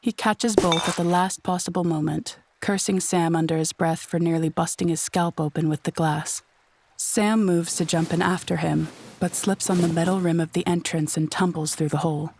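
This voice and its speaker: A woman's voice: on the low side, steady tone, moderate pace, creaky, a little mellow, slightly rough. A woman narrating a scripted audio drama in a descriptive storytelling manner.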